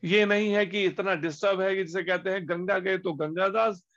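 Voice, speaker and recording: A man giving a devotional discourse as a spiritual teacher, slowing down on key words and asking rhetorical questions. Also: high voice, steady tone, a bit bright. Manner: slow, deliberate emphasis with pauses on key words. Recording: dull lo-fi recording.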